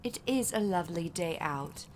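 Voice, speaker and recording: A woman's voice: fairly low pitch, lively intonation, moderate pace, a little mellow, lightly acted. Woman as lilting, motherly older housekeeper. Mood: chatty. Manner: chatty, fussing, genteel. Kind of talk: period audio drama acting. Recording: faint background noise, ambience.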